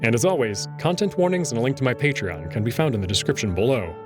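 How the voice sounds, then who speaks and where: A man's voice: medium pitch, animated, fast, music in the background. A man narrating a visual novel as a scripted, dramatic read-aloud. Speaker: host and narrator.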